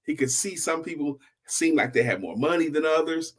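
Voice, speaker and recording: A man hosting a story show, telling a motivational tale in a deliberate, emphatic way with repeated phrases. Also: a little high, lively intonation, breathy edge. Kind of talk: motivational oral storytelling to online viewers.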